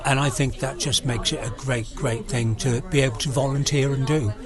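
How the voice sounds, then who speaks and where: A man's voice: mid-range voice, fairly even intonation, normal speed. A man in his sixties from South Wales with a Welsh accent, chatting earnestly as a guest on hospital radio. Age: 60s. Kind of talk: casual radio interview. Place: busy room at a radio party. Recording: crowd chatter in the room. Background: crowd chatter.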